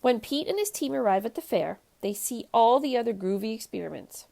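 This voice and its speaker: A woman hosting a children's read-aloud, narrating a storybook in an expressive, animated way. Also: on the high side, fairly quick, vocal fry.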